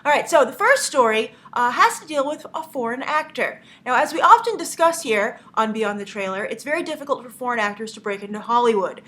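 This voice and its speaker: A woman's voice: fairly high pitch, lively intonation, fairly quick, few pauses, sharp tone. A young woman with a cold and a stuffy nose, hosting a casual movie news show, chatty and opinionated, with lots of "uh" fillers.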